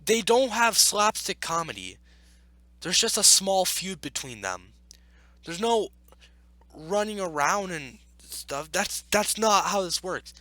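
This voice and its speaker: A man with a high, bright voice that swings up and down a lot. He reads and reacts casually, arguing back in an exasperated tone. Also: sing-song, slow pace, dry recording. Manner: exasperated, argumentative. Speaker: YouTube commentator. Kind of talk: casual reaction commentary while reading.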